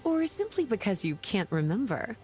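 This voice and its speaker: Playful, polished woman played by an actress for game dialogue; coy, flirtatious, very expressive pitch, music underneath. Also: normal speed, natural voice. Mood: coy, teasing. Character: playful, teasing, well-read woman, leisurely and flirtatious. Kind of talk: scripted video game character dialogue.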